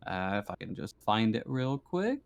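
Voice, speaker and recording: A man acting as game master, improvising narration for a tabletop game and often pausing to search for words. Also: fairly low pitch, sing-song, creaky.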